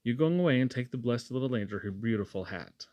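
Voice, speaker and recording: A man voicing a fussy, warm-hearted housewife in a storybook, with an emphatic, homely scolding manner. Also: medium pitch, expressive, fast talker, dry recording, natural voice. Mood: brisk, insistent.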